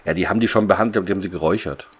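A man's voice: low voice, racing, a little hiss or hum. A man co-hosting a podcast, recapping a radio play in casual conversation. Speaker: podcast co-host.

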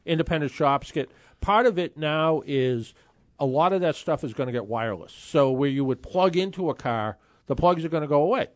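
A man's voice: fairly high pitch, expressive, normal speed, dry recording, studio quality. A man in his sixties hosting a car talk radio show, chatting casually and rambling with frequent restarts. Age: 60s.

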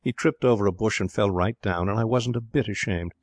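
A man's voice: lowish voice, brisk, no room sound, studio quality, lightly acted. A man voicing a spirited, petulant young woman in a storybook, with an emphatic, gushing manner. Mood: defiant.

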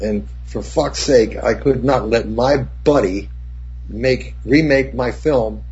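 An older man, a cinematographer, telling rambling, profane set stories with many restarts, in a husky voice. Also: mid-range voice, takes it slowly, a little mellow. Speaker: cinematographer guest. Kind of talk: casual anecdote. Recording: dull lo-fi recording.

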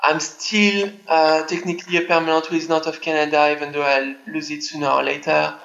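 A man answers interview questions plainly and matter-of-factly. His voice is fairly high and bright but flat, with little rise and fall. He comes through a dull, lo-fi remote line. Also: brisk, creaky. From France. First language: French. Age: 30s. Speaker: tax accountant guest.

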